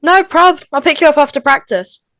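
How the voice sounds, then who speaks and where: A woman playing a sassy, blunt high-school girl in a table read of a slasher script. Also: normal speed, no echo. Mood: breezy, caring. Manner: feisty, animated.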